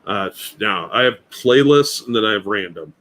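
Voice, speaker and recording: Blunt low-voiced man co-hosting a livestream. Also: expressive, normal speed, a bit bright, a little hiss or hum, poor audio. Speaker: podcast co-host. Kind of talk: casual livestream chat.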